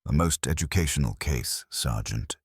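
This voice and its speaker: A man reads as a composed, wry police inspector in a detective audiobook. His voice is extremely low, formal and deliberate, with plenty of rise and fall. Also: lively intonation, breathy edge, dry recording, clean studio sound, heavily acted. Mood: wry, satisfied. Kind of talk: audiobook mystery character dialogue.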